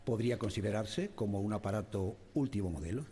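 An actor playing a formal, courteous inquiry official in a radio drama, probing a witness with a husky voice. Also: male voice, fairly low pitch, brisk, mellow, faint music behind, close to an everyday voice. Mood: formal, inquiring. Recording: long echoing hall reverb.

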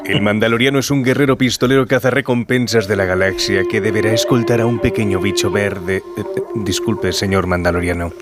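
Guy doing serious tv trailer narrator for a radio spoof, voice a bit low, big loud music under it. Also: fairly even intonation, a little fast, hardly any pauses, close to an everyday voice. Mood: solemn. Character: solemn male series trailer announcer who stumbles over words. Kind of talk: scripted trailer narration parody.

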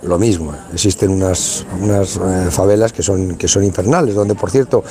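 A man's voice: low-pitched, fairly even intonation, moderate pace, dark tone. A man from Spain, organizer of a youth football tournament, talking in a casual radio interview, colloquial and full of restarts and tag questions.

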